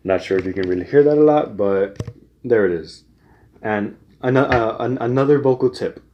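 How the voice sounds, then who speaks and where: A young man explains singing technique in a loose, rambling way, restarting his sentences often. His speaking voice sits on the low side. The recording sounds dull and lo-fi. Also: lively intonation, normal speed, a little hiss or hum. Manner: rambling, many restarts and fillers. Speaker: singing tips vlogger. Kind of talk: casual spoken tutorial.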